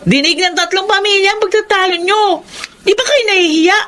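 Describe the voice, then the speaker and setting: A woman's voice: very high voice, moderate pace, a bit bright. An actress playing an exasperated young adult daughter who scolds her quarreling parents. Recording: clear background hiss.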